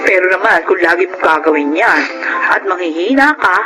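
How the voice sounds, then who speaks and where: A woman's voice: lowish voice, normal speed, music in the background, natural voice. A woman playing an upbeat, encouraging friend. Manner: encouraging, lively. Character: supportive friend Jonah, firm and cheerful. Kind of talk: Filipino radio drama acting.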